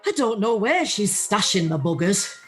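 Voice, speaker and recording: A woman's voice: mid-range voice, sing-song, moderate pace, natural voice. A woman with a Northern English accent playing a middle-aged, blunt, weary widow who cares for her mother in a radio play. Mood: exasperated, chatty. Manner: blunt, colloquial, grumbling. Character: chatty, worn-out, down-to-earth widow caring for her mother. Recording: video call recording with music.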